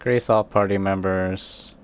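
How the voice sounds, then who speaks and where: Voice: deep voice, steady tone, normal speed, clear, resonant tone. A game streamer chatting casually and rambling to themselves and viewers while playing. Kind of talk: casual game livestream chat.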